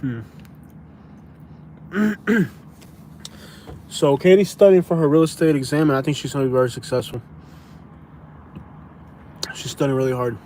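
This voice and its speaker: A man's voice: medium pitch, a little slow, long pauses, darkish tone, slight background noise. A young man from Florida, casually answering viewer questions on a live stream, rambling and restarting his sentences, calling people "bro". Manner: rambling, repeats himself and restarts, says "bro".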